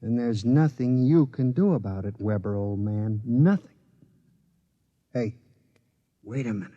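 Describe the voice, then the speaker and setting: A man's voice: mid-range voice, unhurried, no echo, muffled recording, lightly acted. An actor playing a desperate, jealous, bitter man who is easily rattled, in the style of 1950s radio drama. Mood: brooding. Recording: 1950s radio broadcast recording.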